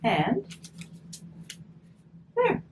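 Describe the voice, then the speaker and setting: A woman giving a casual step-by-step craft demonstration, chatty and encouraging, thinking aloud as she works, recorded on a distant mic. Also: extremely slow. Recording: distant mic, noisy room ambience.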